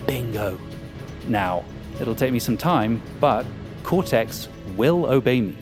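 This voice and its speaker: An actor playing an arrogant, power-hungry hacker in an audio drama, with a smug, cynical and sardonic manner. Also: male voice, medium pitch, expressive, takes it slowly, over music. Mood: confident, explaining. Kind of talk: audio fiction acting.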